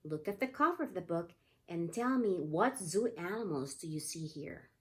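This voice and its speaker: A woman's voice: on the low side, animated, normal speed, a bit bright. A woman teaching young children in a read-aloud lesson, explaining simply and prompting with repeated questions. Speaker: pre-K teacher.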